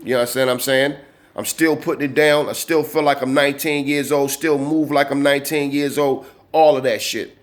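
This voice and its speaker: A man's voice: mid-range voice, steady tone, normal speed. Streetwise man in his forties, profane. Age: 40s. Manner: profane, streetwise slang, frequent "you know what I'm saying". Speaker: channel host. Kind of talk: casual vlog talk to camera. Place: at his desk indoors.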